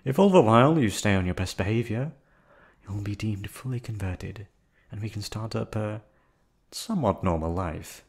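A man playing a soft-spoken yandere kidnapper. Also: on the low side, expressive, moderate pace, husky. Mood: menacing. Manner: soft, coolly reasoning, menacing. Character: calm, unhinged male yandere hotel receptionist kidnapper. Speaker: voice actor. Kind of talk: ASMR roleplay audio drama.

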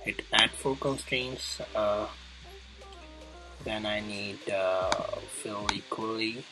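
A man presenting a casual coding tutorial, thinking aloud in a halting way as he demonstrates each step. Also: on the low side, rough recording. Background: music clearly playing behind the voice.

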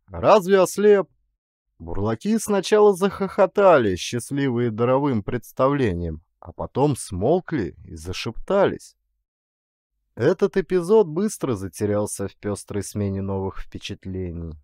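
A man narrating a literary story as an actor would, in an expressive, dramatic storytelling manner. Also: mid-range voice, a little slow, no room sound, clean studio sound.

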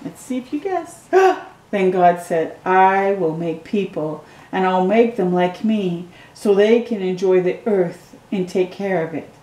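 A middle-aged woman reading a picture book aloud to children at home, slowly and gently, with excited asides to the viewer. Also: medium pitch, roomy sound, rough recording. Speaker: storytime reader. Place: living room at home.

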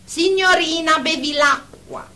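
An actress playing a firm, formal psychotherapist in a radio drama, measured and admonishing as she leads group therapy. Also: extremely high pitch, pushed and bright, heavily acted. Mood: stern, measured. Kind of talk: Italian radio drama acting.

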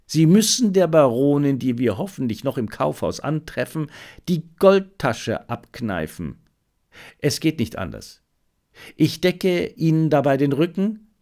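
A man reading the part of a shrewd male detective in an audiobook, brisk and decisive as he gives instructions. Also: fairly high pitch, very animated, darkish tone. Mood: brisk, commanding.

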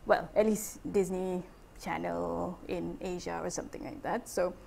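A woman's voice: lowish voice, fairly even intonation, measured pace, vocal fry, mellow, a little hiss or hum. A middle-aged woman with a Malaysian accent giving an informal academic seminar over slides, chatty, with many fillers and restarts. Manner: chatty, many fillers and self-corrections, laughs lightly.

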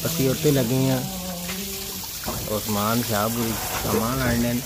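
A man's voice: medium pitch, steady tone, slow pace, very noisy. A man talking casually on a building site. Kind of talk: casual chat.

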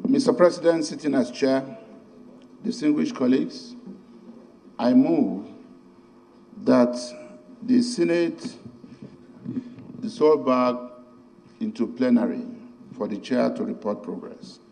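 A Nigerian-accented man formally moves a parliamentary motion. His voice is dark and creaky, and he goes very slowly, phrase by halting phrase. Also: medium pitch, lively intonation, poor audio. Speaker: legislator moving a motion. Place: large legislative chamber, indoors.

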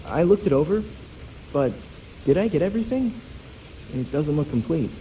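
A man's voice: on the high side, very animated, moderate pace, dark tone, distant mic, slight background noise, low-quality recording. An actor playing an earnest, bookish young male vigilante who speaks tentatively in a video game. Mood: earnest, unsure.

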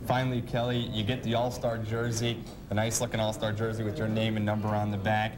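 A man's voice: lowish voice, completely flat delivery, fairly quick. A young man hosting a broadcast sports interview in a polished, wordy announcer style. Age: young adult. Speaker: sports show host, interviewer. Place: sports grill, indoors, at a table. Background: clear ambient noise.

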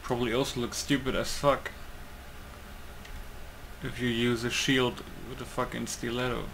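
A man's voice: mid-range voice, little pitch movement, moderate pace, fairly bright tone, slight background noise, rough recording. A man giving casual game commentary in a rambling, blunt, sweary way. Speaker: gaming video creator.

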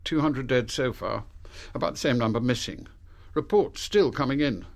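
Actor doing british spy boss, radio play, matter-of-fact and clipped, medium pitch, dry authority. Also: male voice, normal speed, vocal fry, lightly acted. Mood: grave, wry. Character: dry, authoritative British male intelligence chief. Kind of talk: radio drama acting.

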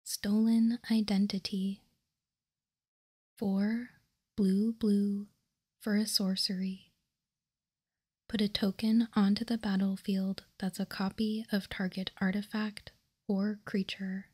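Slow whispering woman, very close and dry. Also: mid-range voice, no echo. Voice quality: soft, breathy unvoiced whisper. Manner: slow, deliberate, calm reading. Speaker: ASMR video host. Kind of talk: whispered ASMR, reading out trading cards. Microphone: close microphone, dry sound.

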